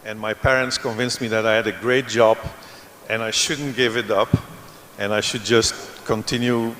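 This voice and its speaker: A man's voice: lowish voice, fairly even intonation, moderate pace, fairly bright tone, slight background noise. Guy from belgium on a panel, rambly story, big echoey hall. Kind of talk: conference panel discussion. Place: large conference hall. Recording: reverberant conference hall.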